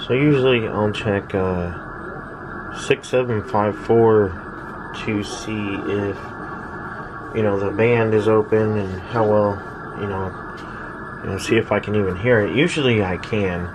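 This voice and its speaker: An American man in his thirties, rambling casually and haltingly as he thinks aloud in a laid-back hobby vlog. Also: lowish voice, steady tone. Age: 30s. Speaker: radio hobbyist, vlogger. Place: small backyard shed. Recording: noisy shed, fireworks in distance. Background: background noise, distant fireworks popping.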